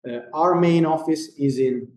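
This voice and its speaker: A man's voice: on the high side, measured pace, darkish tone, reverberant. A man with a Genoese Italian accent giving a casual, joking company presentation at a lecture, with many fillers and restarts. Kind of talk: informal conference presentation.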